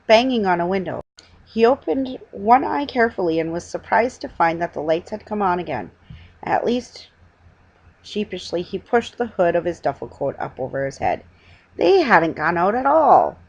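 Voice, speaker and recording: Woman reading a kids story out loud, kinda low voice, bit halting, muffled lo-fi home recording. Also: breathy edge. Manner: halting reading with stumbles and self-corrections. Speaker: reader. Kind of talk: storybook read-aloud. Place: small living room at home, indoors. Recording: dull lo-fi webcam sound.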